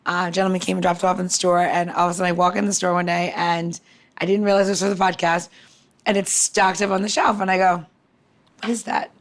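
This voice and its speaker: A woman's voice: lowish voice, fast talker. A woman co-hosting a casual podcast, talking bluntly and acting out dialogue as she tells stories. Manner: blunt, salty, animated storytelling with quoted dialogue. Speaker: podcast co-host; cocktail maker. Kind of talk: casual podcast chat with anecdotes.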